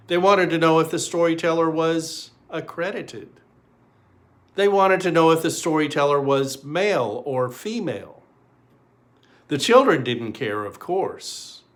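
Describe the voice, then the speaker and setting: A man reading a children's story aloud in an expressive way, with deliberate pauses. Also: a little high.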